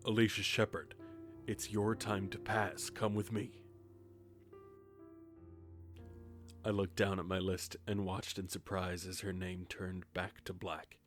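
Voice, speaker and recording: Man acting very deep, solemn grim reaper. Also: flat delivery, unhurried, hardly any pauses. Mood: solemn, insistent. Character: grim reaper narrator, centuries old, detached, puzzled. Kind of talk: first-person audio drama narration. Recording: music behind the voice. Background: music with guitar.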